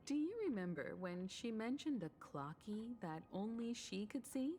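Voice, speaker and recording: A woman's voice: mid-range voice, animated, normal speed, warm tone, faint music behind, natural voice. An actress playing a composed, perceptive female investigator in a game, explaining things in an articulate way. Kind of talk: scripted game dialogue.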